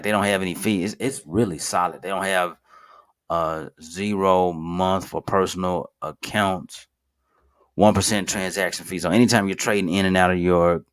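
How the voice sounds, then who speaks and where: A man in his thirties hosting a casual finance video, rambling with fillers, restarts and self-corrections, talking to viewers as "guys". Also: low voice. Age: 30s. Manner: informal, addresses viewers as "guys". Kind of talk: casual screen-share commentary.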